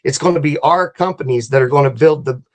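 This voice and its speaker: A man arguing as a guest in an unscripted political discussion, insistent and halting, often repeating his phrases. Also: fairly high pitch. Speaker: talk show guest.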